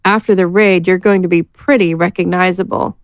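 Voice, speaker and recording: A woman's voice: fairly low pitch, fairly even intonation, a little fast, lightly acted. A woman playing a gang underling who speaks frankly yet hesitantly to her boss. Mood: doubtful. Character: frank but deferential female gang underling.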